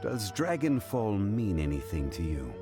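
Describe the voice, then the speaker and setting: An actor playing a calm, dignified ancient man who speaks in a measured, formal way. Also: lowish voice, music in the background.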